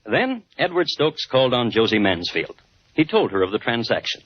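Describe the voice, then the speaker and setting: Droll old-time radio crime narrator. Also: male voice, medium pitch, huge pitch swings, normal speed. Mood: dry, wry. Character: wry, dry male crime-story host. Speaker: host and narrator. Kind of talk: 1950s radio scripted narration. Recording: muffled old radio broadcast. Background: faint music.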